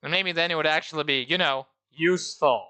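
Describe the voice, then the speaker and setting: Bright-voiced man. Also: fairly high pitch, fairly quick, clear, resonant tone. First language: Danish. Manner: rambling, self-deprecating, talks to himself. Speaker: streamer. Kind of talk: casual game livestream commentary.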